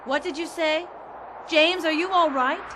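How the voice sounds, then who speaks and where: A woman's voice: very high-pitched, measured pace, background noise. A woman playing a plucky, anxious adventurer in a science fiction radio drama, speaking in an earnest, candid way. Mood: worried. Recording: loud ambient sound effects behind.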